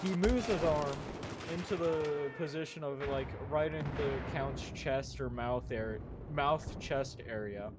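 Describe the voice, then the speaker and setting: A man casually retelling a story, halting with lots of fillers, restarts and swearing while he plays a game. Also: on the high side, heavy background noise. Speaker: storyteller summarizing a manga. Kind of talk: casual rambling story recap. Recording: loud video game gunfire behind voice. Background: game gunfire and explosions.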